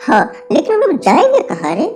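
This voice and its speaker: A woman's voice: high-pitched, wildly swooping pitch, brisk, darkish tone. A woman voicing an eager, adventurous little male dwarf in a cartoon, coaxing and pleading in colloquial Bihari-accented Hindi. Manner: colloquial, pleading, repetitive urging. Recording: faint background music.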